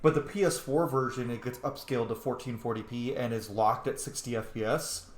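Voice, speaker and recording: A man's voice: mid-range voice, fairly quick. A man chatting loosely over his playthrough from an echoey room, the kind of informal host heard on a long roleplay series. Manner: rambling, informal, sweary. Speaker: gaming video host. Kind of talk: casual let's play commentary and roleplay. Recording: roomy echo, game music behind. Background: video game music.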